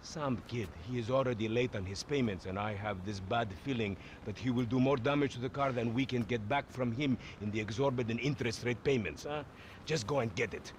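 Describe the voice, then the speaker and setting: An actor playing a pushy, excitable car dealer in a video game cutscene. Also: male voice, mid-range voice, brisk, close to an everyday voice. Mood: scheming. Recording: game audio with background noise.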